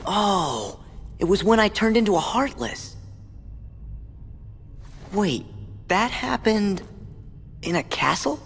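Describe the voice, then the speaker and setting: A man's voice: high-pitched, big pitch swings, takes it slowly, vocal fry, pauses often, a bit bright, slightly rough, heavily acted. A young man voicing an earnest, youthful teenage boy hero in a video game, sincere and a little hesitant. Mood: puzzled. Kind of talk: video game cutscene voice acting. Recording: long hall reverb, music behind.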